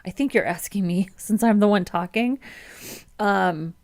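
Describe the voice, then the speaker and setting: A woman's voice: medium pitch, a little slow, darkish tone. A woman in her thirties with a Midwestern American accent, chatting casually on a livestream and reading viewer comments, with many ums and restarts. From United States. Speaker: web developer streamer.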